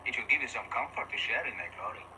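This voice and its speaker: An actor playing a solemn, honor-bound warrior in a film, speaking gravely and formally. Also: male voice, medium pitch, expressive, quick pace, lightly acted. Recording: distant, noisy film playback.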